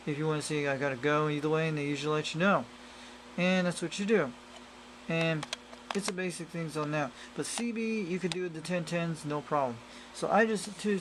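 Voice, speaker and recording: A man with a slightly raised pitch talks casually, as if to viewers of a homemade demo. He wanders through his explanation and repeats himself in loose wording. The amateur camera audio carries some noise behind him. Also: poor audio. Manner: repetitive, loosely worded explaining. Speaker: hobbyist radio reviewer. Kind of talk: casual rambling demonstration to camera. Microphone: handheld camera microphone.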